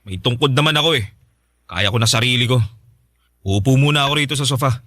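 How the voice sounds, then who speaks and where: A man's voice: mid-range voice, fast, no room sound, clean studio sound, natural voice. A Filipino man playing a bitter, suspicious husband who accuses his wife, in a Tagalog radio drama. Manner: accusing, forceful.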